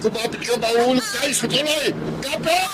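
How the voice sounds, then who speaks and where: A man's voice: very high voice, a little fast, very noisy. A Filipino man acting a stern, greedy middle-aged moneylender and father in a radio drama, smug and domineering. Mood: panicked.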